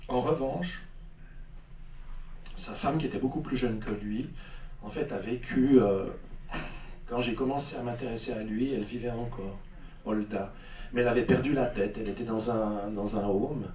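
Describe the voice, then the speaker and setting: A man's voice: medium pitch, moderate pace, a little mellow. Hesitant man answering in an echoey hall. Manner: hesitant, many fillers and restarts. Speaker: film director at a post-screening Q&A. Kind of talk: spontaneous Q&A answers. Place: cinema hall. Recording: echoey cinema hall, distant mic, faint noise.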